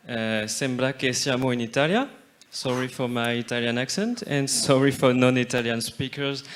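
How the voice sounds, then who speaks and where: A man commenting on an app demo during a conference talk, speaking in short, hesitant steps. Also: mid-range voice. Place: conference stage.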